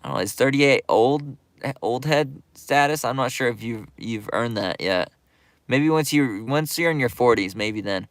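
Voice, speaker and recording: A laid-back man hosts a skateboarding livestream, reading viewer comments aloud and riffing on them with plenty of ums and likes. His voice sits at an ordinary middle pitch. The sound is dry and close, with no room echo. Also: moderate pace. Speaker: YouTube skate channel host reading live chat. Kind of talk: casual livestream chat.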